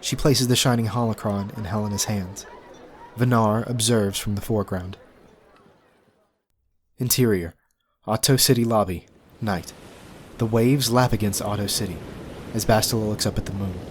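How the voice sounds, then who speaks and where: A man with a fairly low voice narrates scripted stage directions and scene headings. Faint ambient noise sits behind the narration. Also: steady tone, normal speed, long pauses.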